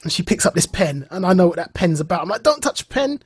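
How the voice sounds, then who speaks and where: A man co-hosting a podcast in a casual, enthusiastic way, telling stories in an animated manner and acting out bits of dialogue. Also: sits high, quick pace, husky, no room sound. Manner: animated storytelling, acts out reported dialogue. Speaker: podcast co-host.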